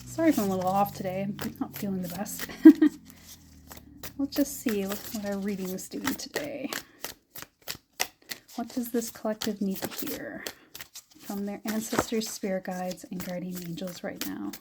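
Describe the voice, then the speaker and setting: A young adult woman giving a gentle, musing tarot reading, her voice a little raspy and scratchy, often laughing softly. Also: mid-range voice, normal speed, pauses often. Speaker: tarot reader. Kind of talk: soft-spoken tarot reading.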